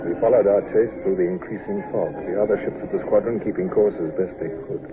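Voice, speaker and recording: A man's voice: sits low, steady tone, close to an everyday voice. Man acting an imperious naval commander, old radio. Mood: measured narrating. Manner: brisk, commanding, blustering. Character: imperious, irascible British naval commander at sea, dryly humorous. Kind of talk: 1950s radio drama acting, first-person narration and dialogue. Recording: dull, hissy old radio broadcast recording.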